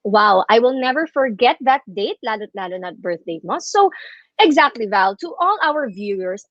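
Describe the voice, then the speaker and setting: A woman's voice: sits high, a bit bright. A Filipina woman co-hosting an online town hall, reading upbeat scripted lines and switching between English and Tagalog. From Philippines. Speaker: co-host. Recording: dry, dull lo-fi sound.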